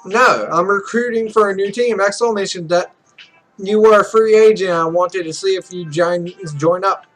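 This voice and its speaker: A man voicing a dry, businesslike esports recruiter in a visual novel, measured and evasive. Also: sits high, fairly bright tone, faint music behind, rough recording. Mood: explaining.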